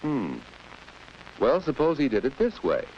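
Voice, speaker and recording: A man's voice: medium pitch, huge pitch swings, measured pace. A man narrating a 1940s instructional film, putting questions to the viewer. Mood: questioning. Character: questioning male narrator guiding teenage viewers. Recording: muffled, noisy 1940s film soundtrack.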